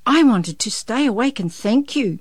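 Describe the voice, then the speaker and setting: Woman doing an eager, polite little boy, pitched up. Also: expressive, normal speed, breathy edge, no room sound, studio quality. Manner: eager, wide-eyed.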